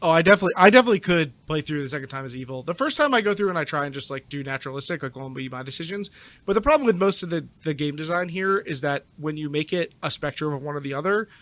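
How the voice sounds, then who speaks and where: Male podcast panelist, slightly high voice, casual and opinionated, talks through hypotheticals. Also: animated, rapid-fire, fairly bright tone, dry recording.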